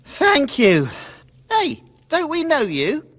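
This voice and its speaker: A woman's voice: high-pitched, huge pitch swings, slow pace, a bit bright, in a large hall, full character voice. A woman playing a nervous, sheepish young character in a fantasy video game, hesitant and pleading.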